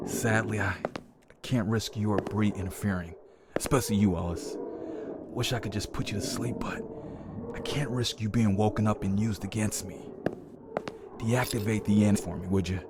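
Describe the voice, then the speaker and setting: A man's voice: on the low side, fairly even intonation, normal speed, heavy background noise, lightly acted. A man playing a cold, treacherous special instructor in a sci-fi horror audio drama, clipped, commanding and matter-of-fact. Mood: coldly matter-of-fact. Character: cold, treacherous male special instructor, briskly apologetic killer.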